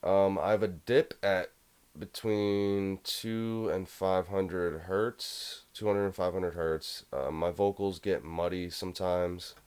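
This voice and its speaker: A young man with a low voice casually walking through a home music production tutorial, rambling a bit with fillers. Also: fairly even intonation, slow pace, a little mellow, clean tone. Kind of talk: casual screen-recorded tutorial.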